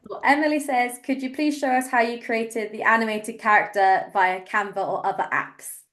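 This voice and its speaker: A woman's voice: on the high side, fairly even intonation, moderate pace, fairly bright tone. A woman hosting a webinar, informally moderating questions and answers. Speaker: webinar host.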